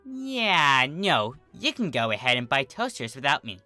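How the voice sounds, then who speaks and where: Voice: mid-range voice, very animated, a little slow, sharp tone, soft background music. A story reader voicing a spoiled young girl pony who is whiny and easily exasperated, in a cartoon fan-fiction reading. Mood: dismissive.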